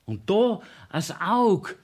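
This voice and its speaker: Sly Bavarian court clerk: high-pitched, sing-song swings, insinuating, mock-concerned, for dialect radio drama. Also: male voice, very animated, darkish tone, heavily acted. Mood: needling. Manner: probing, insinuating, drawn-out. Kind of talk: dialect radio play acting, 1970s.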